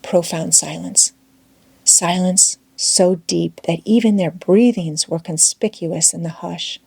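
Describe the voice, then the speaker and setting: A woman reading a classic novel aloud as an audiobook narrator, with expressive, dramatic delivery. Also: on the low side, gravelly, dry recording. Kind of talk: scripted storytelling narration.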